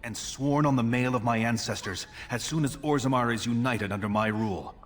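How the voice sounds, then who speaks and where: An actor playing an ambitious dwarf prince in a video game, speaking formally and with resolute authority. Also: male voice, medium pitch, brisk, natural voice. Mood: resolute, urgent. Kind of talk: video game character dialogue.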